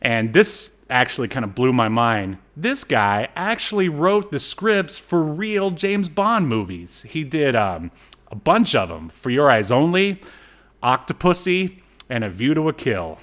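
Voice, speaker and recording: A man's voice: mid-range voice, big pitch swings, measured pace, a bit bright. A man co-hosting a podcast, chatting casually and jokily as he rambles through his notes. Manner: jokey, rambling. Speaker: podcast co-host. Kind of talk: casual banter, reading off credits.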